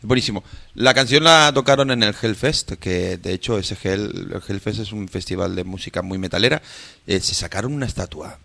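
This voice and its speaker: Gravelly low-voiced male radio co-host. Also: moderate pace, bright, forceful tone. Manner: laughs often, enthusiastic asides. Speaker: radio music show co-host. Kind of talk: casual radio banter.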